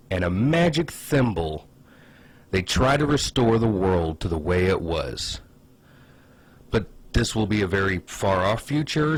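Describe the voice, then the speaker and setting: A man hosting a casual comedic commentary video, pitching ideas in a wry, sarcastic, opinionated way. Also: slightly rough.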